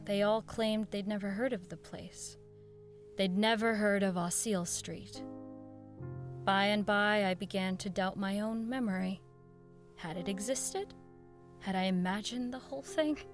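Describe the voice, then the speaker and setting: Woman acting haunted female narrator, radio horror drama, halting intense delivery, grieving, music underneath. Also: medium pitch, natural voice.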